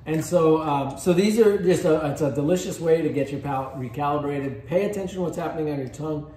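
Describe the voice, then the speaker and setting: Mellow man guiding a tasting. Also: on the high side, fast talker. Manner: easygoing, guiding step by step. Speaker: cheese shop founder leading a tasting. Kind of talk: casual tasting instruction. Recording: echoey room, faint background noise.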